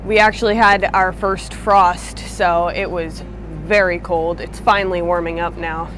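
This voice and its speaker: A woman's voice: on the low side, steady tone, a little slow, music in the background, rough recording. A young woman talking casually and upbeat to her viewers at an outdoor sawmill, recorded on a camera mic a few feet away. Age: young adult. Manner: chatty, upbeat, addresses viewers directly. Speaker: sawmill vlogger. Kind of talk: casual vlog talk to camera.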